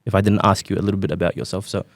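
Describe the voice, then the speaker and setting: 20-something guy hosting a podcast, polite, lots of uhs, lower voice. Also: steady tone, very fast, vocal fry, no echo. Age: 20s. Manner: polite and deferential, with fillers. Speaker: podcast host. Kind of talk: interview questions.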